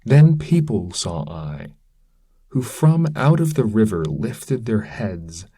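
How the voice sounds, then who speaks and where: A man reading narrative verse aloud in a measured, solemn manner, as a scripted dramatic recitation. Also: lowish voice, very animated, warm tone, breathy edge. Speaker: reader.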